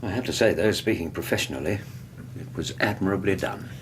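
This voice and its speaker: An actor playing a concerned, loyal doctor in a radio drama, gently exasperated with his friend. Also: low-pitched, fairly quick, a little hiss or hum, lightly acted. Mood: astonished, admiring.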